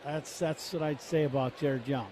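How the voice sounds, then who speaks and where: A man's voice: a little high, steady tone, normal speed, a little mellow, slight background noise. A man giving casual, rambling color commentary on a baseball radio broadcast.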